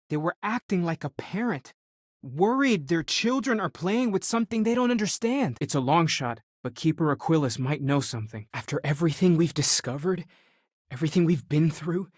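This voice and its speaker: Video game role: a young, earnest spiritual scholar exploring space. Thoughtful and conversational, close dry studio sound. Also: male voice, a little high, dry recording. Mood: intent, speculative. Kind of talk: video game character dialogue.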